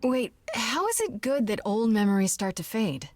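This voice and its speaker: A woman's voice: on the high side, big pitch swings, moderate pace, a little mellow, no room sound. An actress playing a questioning, uneasy young woman newly made a god in a video game, talking in a plain, casual way. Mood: uneasy, doubtful. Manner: inquisitive, plain-spoken, casual.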